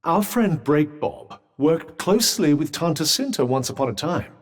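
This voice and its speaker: A man's voice: on the high side, sing-song. A man voicing a wry, sardonic male talking magic bracelet in video game voice lines, with dry, formal, urbane speech. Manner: urbane, teasing, measured. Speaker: companion character.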